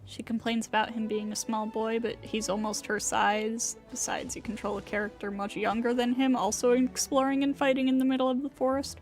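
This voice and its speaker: Female live streamer, high voice, casual and conversational delivery. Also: little pitch movement, a little fast, few pauses, faint music behind.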